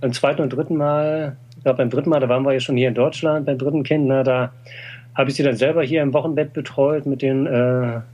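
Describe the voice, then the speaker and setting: A man's voice: medium pitch, steady tone, brisk, a little mellow, lo-fi sound. A middle-aged man in an interview, explaining Chinese medicine with many fillers and tag questions. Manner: explanatory, frequent "äh" and "ne?". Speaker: TCM practitioner. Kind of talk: conversational interview.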